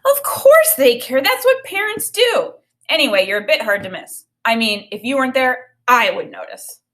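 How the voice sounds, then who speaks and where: A woman's voice: high voice, sing-song, normal speed, a bit bright, voice pushed up. A woman voicing an earnest, reassuring young boy in an audiobook-style story, with an emphatic, childlike, know-it-all manner.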